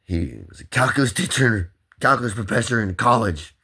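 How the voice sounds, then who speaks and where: Gravelly man rambling to camera. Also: mid-range voice, lively intonation, brisk, pushed and bright. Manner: rambling, swearing, jumps between topics.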